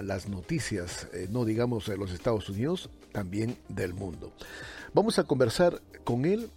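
Radio host, male, conversational and halting, faint music bed. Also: lowish voice, a little mellow. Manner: hesitant, many fillers and restarts.

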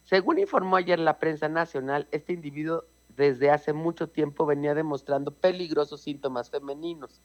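A man's voice: a little high, moderate pace, hardly any pauses, lo-fi sound. A Mexican man with a Mexican Spanish accent, chatting casually and reading out an old news story, explaining with asides and repeated words.

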